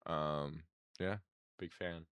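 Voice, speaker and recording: A man's voice: very deep voice, steady tone, extremely slow, fairly bright tone, clean tone, dry recording. A man co-hosting a casual sports podcast, chiming in with laid-back, slangy remarks. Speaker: podcast co-host.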